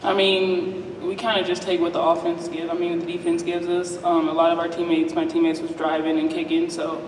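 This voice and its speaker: A young woman athlete answers plainly and low-key, with a flat, even tone. She often opens with a filler phrase. Her voice is caught from a distance in a reverberant hall. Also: brisk. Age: young adult. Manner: low-key and matter-of-fact, starts answers with "I mean". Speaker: college basketball player. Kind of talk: press conference answers. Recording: echoey hall, distant mic, room noise.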